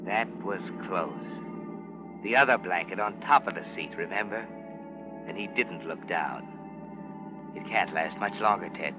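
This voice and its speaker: An actor playing an ominous, taunting narrator who speaks insinuatingly and dramatically to the listener in a 1940s radio thriller. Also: male voice, sits low, measured pace, long pauses. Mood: ominous, suspenseful. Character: ominous, taunting narrator addressing the guilty man. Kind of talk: 1940s radio suspense narration, second person. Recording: muffled old radio recording. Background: music.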